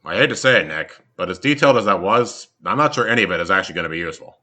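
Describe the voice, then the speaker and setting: A man voicing a blunt, gruff little male fennec fox. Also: low-pitched, lively intonation, very fast, bright, forceful tone, pitched below the performer's own voice, full character voice.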